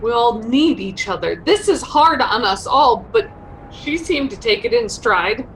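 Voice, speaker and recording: A woman playing a caring, steady survivor in a dramatic table read over a video call. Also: on the high side, measured pace, slight background noise, low-quality recording. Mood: sorrowful. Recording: video call with faint music.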